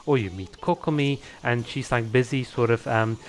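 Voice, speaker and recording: A man's voice: mid-range voice, normal speed. Man giving casual gaming opinion commentary. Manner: explanatory, lays out ideas with examples.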